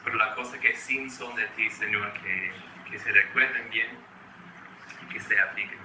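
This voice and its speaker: Man, American accent, very bright voice, quick with lots of restarts, roomy far-off sound. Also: fairly low pitch, steady tone, a little hiss or hum. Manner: fast-talking, frequent restarts and repeated words. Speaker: children's ministry trainer. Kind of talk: training talk to church workers. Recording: echoey room from far off.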